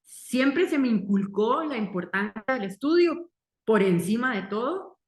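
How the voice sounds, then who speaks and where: A woman's voice: fairly high pitch, lively intonation. Earnest Costa Rican woman in her forties. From Costa Rica. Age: 40s. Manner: earnest, deliberate, speaks in short emphatic phrases. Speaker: marketing entrepreneur giving a testimonial. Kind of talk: success-story testimonial speech. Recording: roomy echo.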